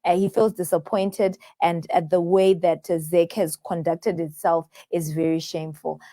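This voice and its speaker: A woman's voice: on the low side, little pitch movement, normal speed, mellow. A woman hosting a live call-in show, talking with many fillers and restarts as she takes viewers' calls. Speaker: host taking viewer calls.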